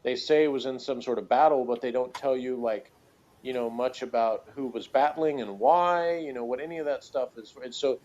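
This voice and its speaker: A man chatting casually as a review co-host, talking in a wordy, halting, rambling way. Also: medium pitch, a little mellow. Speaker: podcast co-reviewer. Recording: dull, lo-fi sound.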